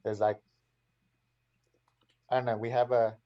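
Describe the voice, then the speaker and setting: A man's voice: medium pitch, flat delivery, unhurried, dull audio. A man with an Australian accent, chatting on a panel in a laid-back way, full of "like" and "um". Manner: laid-back, many fillers. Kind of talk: casual panel chat.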